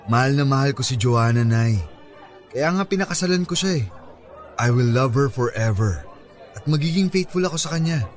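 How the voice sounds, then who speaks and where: Actor as an earnest, devoted Filipino son. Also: male voice, medium pitch, lively intonation, moderate pace, faint music behind, natural voice. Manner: earnest, polite. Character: devoted, respectful grown son, young Filipino pilot. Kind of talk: Filipino radio drama acting.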